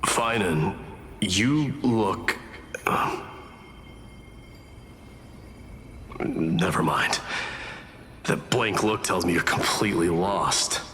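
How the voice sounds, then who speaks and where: A proud mocking warrior for a fantasy game, lowish voice, really slow, echoing hall. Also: male voice, lively intonation, sharp tone, slight background noise, exaggerated voice. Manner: haughty, mocking, deliberate. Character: proud, scornful warrior man hungry for a duel. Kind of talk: English video game voice acting. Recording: long hall reverb under faint music.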